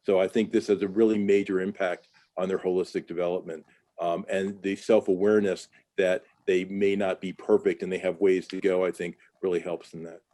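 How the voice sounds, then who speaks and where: An American man on a webinar panel, his low voice mellow and dark. He answers in a candid, conversational way full of ums and uhs, heard through a video call microphone. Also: level tone, brisk. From United States. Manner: conversational, candid, with many fillers.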